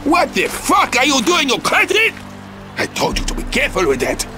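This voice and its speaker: A man's voice: very high voice, wildly swooping pitch, moderate pace, over music, exaggerated voice. An actor playing a volatile, foul-mouthed villain boss in a video game, a fat man, pompous and scornful. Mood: furious. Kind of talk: video game cutscene acting.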